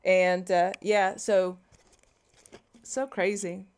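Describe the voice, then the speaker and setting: A woman's voice: mid-range voice, level tone, drawn-out words, darkish tone, smooth. A woman from Louisiana with a Cajun English accent, casually chatting through a livestream coding tutorial. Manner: chatty, reads viewer comments aloud and riffs on them. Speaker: livestreaming developer.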